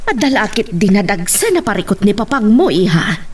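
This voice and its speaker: Expressive, worried Filipino mother played by a woman. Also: mid-range voice, fairly quick, breathy edge, far from the mic. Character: worried wife and mother, gentle with her daughter. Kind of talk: Filipino radio-style drama acting.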